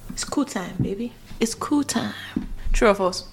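A woman's voice: medium pitch, lively intonation, measured pace, whispered. A woman with a Nigerian accent hosting a quiz in casual, teasing storytelling, with dramatic emphasis. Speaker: trivia quiz host.

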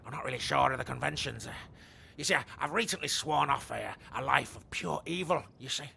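An actor playing a blunt, reformed evil knight who talks brashly and turns awkward and hesitant. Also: male voice, mid-range voice, animated, fairly quick, creaky, bright, forceful tone, slight background noise, heavily acted. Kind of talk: comedy audio drama acting.

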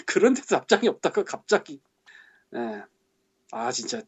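A man's voice: a little high, big pitch swings, normal speed, breathy edge. A man on a casual podcast, venting in an exasperated, grumbling way and muttering curses. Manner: exasperated, grumbling, swears under breath. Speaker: podcast co-host, game translator. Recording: lo-fi audio.

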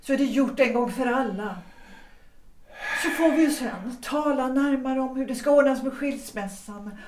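Actress playing resolute married woman, 1906 period drama, measured and formal delivery, roomy sound, off the mic. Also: sits high, a little mellow, recorded from a distance, close to an everyday voice. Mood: grave, composed. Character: resolute married woman leaving her husband for love, 1906. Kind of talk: period stage-play audio drama acting.

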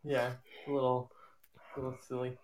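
A man's voice: medium pitch, completely flat delivery, fairly quick, a crowd in the background, rough recording. A man co-hosting a podcast who makes brief remarks while handling the music and tech. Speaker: podcast co-host playing the music. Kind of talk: casual chat.

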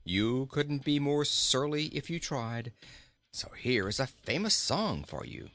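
A man's voice: medium pitch, very animated, unhurried, a bit bright, pitched above the performer's own voice. A man voicing a jolly, teasing king with a cheery, chuckling, singsong manner in a storybook reading. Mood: cheerful, teasing. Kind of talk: storybook character voice.

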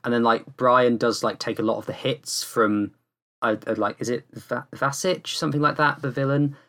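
A man with a mid-pitched voice talks casually on a podcast. His phrasing rambles and hesitates, feeling its way through each point. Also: steady tone, moderate pace, bright, forceful tone.

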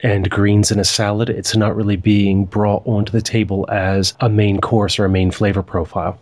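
A man from the United States hosting a podcast, asking long, measured questions. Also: lowish voice, little pitch movement, dry recording. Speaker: podcast host. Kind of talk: interview questions.

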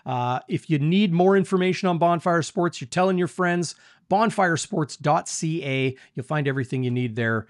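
A man's voice: on the high side, moderate pace, dry recording, studio quality. A man hosting a live sports talk show over a video call, upbeat and chatty. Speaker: host, sports reporter.

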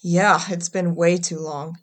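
An actress playing a weary, casual government enforcer in an audio drama, with an offhand drawl. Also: female voice, on the low side, fairly even intonation, unhurried, lightly acted. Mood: weary, curious.

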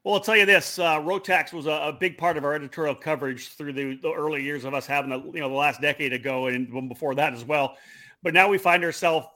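Brief: man from Canada, fast bright host voice, upbeat promo energy. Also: on the high side. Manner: upbeat, quick promotional delivery. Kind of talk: online talk show interview.

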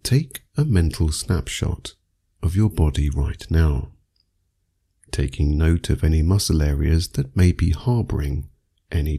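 A man narrating a scripted guided meditation for sleep, speaking soothingly and in measured phrases with long pauses. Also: a bass voice, vocal fry, mellow, slightly rough.

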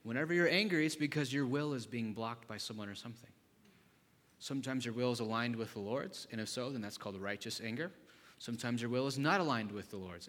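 Conversational pastor in a reverberant hall. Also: male voice, medium pitch, fairly bright tone. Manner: conversational, with rhetorical questions to the congregation. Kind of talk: sermon. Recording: long reverb in large hall.

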